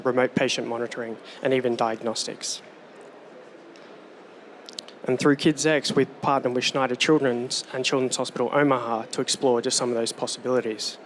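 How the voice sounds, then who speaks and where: A man giving a rehearsed startup pitch on stage with a steady, polished delivery. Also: a little hiss or hum. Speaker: startup presenter.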